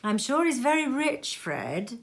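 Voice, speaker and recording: A woman's voice: high voice, very animated, a little slow, voice pushed up, heavily acted. A woman voicing a spirited, indignant young wife in a storybook reading, emphatic and protesting. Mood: hinting.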